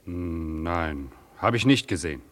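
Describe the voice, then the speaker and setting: Impatient young gentleman, deep voice. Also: lively intonation, measured pace. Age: young adult. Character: impatient young gentleman, stiffly polite, irritably waiting. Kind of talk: 1960s German radio play acting. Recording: noisy vintage radio drama recording.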